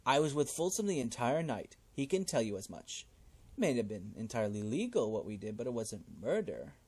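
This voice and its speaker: A man's voice: mid-range voice, sing-song, fairly quick, darkish tone, breathy edge. A man voicing a fussy, genteel old cannibal cult leader in a game, with a polite, wordy, fluttery manner. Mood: proud.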